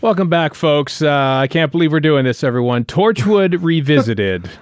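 A man hosting a podcast in casual chat, speaking haltingly with many ums and pauses as he runs through news. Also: on the high side, animated, no echo, clean studio sound, faint music behind. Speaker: podcast host.

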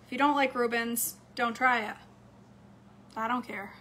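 A woman, chatting casually to her viewers from a home kitchen, slightly hoarse. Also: a little high, fairly even intonation, unhurried, sharp tone, a little hiss or hum. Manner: chatty, upbeat, talks straight to camera. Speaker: cooking vlogger. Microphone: phone camera close up on a counter.

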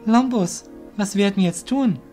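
A man's voice: sits high, moderate pace, warm tone, faint music behind, pitched above the performer's own voice, close to an everyday voice. A man voicing an earnest young male apprentice warrior, questioning and respectful, in an audio drama. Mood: anxious.

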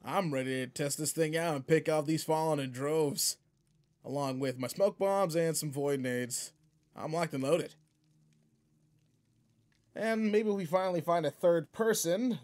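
A man's voice: on the high side, normal speed, darkish tone, natural voice. A man playing a seasoned but laid-back space guardian mentor in a video game roleplay, casual, slangy and wry as he talks to a partner. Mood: teasing, instructing. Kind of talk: video game roleplay audio, in-character monologue.

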